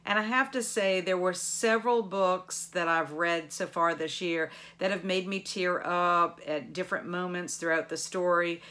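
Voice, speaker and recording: Woman, middle-aged, slightly low pitch, casual book review chat, expressive emphasis. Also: normal speed, few pauses. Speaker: book vlogger and channel host.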